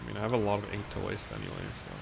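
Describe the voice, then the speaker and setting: A man's voice: lowish voice, wildly swooping pitch, rapid-fire, vocal fry, background noise. A man from the Netherlands whose first language is Dutch, chatting casually in commentary while playing as a speedrunner, with a pronunciation mixing British and American. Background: game music.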